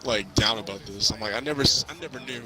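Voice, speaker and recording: A man casually recapping a movie in a halting, rambling way, repeating himself as he goes. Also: mid-range voice, low-quality recording. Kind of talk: casual movie recap chat while playing a video game. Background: crowd noise and basketball bouncing from a game.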